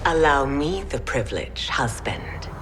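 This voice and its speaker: A low-voiced, ruthless warrior empress. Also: female voice, lively intonation, a little slow, poor audio. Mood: haughty menace. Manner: regal, commanding, theatrical. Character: ruthless, haughty warrior empress, scheming and power-hungry. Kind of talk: dramatic video game voice acting. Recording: music under the voice.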